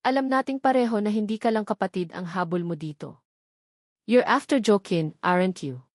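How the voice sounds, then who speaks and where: A woman's voice: medium pitch, expressive, moderate pace, no room sound, studio quality, natural voice. A woman voicing a sarcastic, jealous rich young woman who threatens a rival and slips English into her speech.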